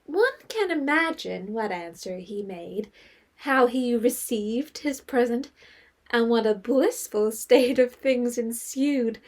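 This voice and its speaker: High-voiced female literary narrator. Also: lively intonation, slow, heavily acted. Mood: lightly amused. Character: composed, literary female storyteller of a period novel. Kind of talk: scripted storybook narration.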